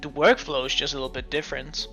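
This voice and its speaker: Danish man, bright halting stream chat. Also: on the high side, fairly even intonation, soft background music. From Denmark. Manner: halting, many restarts and fillers. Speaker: game developer streamer. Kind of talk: casual live-stream commentary while coding. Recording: muffled lo-fi stream audio.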